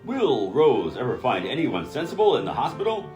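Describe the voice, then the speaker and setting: A man's voice: mid-range voice, huge pitch swings, moderate pace. A man announcing a comedy radio show in a theatrical style. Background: plucked guitar music.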